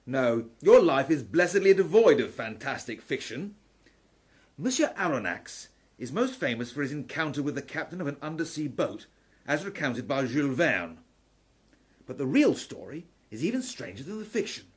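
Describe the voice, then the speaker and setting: A man's voice: fairly high pitch, big pitch swings, moderate pace, breathy edge. A man playing a learned, wry Victorian professor in a steampunk radio play, articulate and dramatically lecturing.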